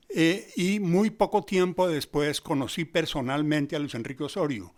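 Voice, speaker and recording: An elderly Colombian man, a theatre scholar, talking in a discursive, anecdotal academic way. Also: mid-range voice. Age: 70s or older. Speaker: theatre researcher and teacher, guest panelist.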